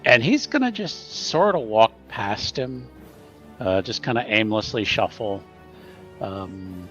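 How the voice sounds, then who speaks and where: A man's voice: fairly low pitch, expressive, takes it slowly, fairly bright tone, highs cut off, faint music behind. A man playing a tabletop game, tentatively describing and acting out what his character does. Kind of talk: improvised narration of his character's actions.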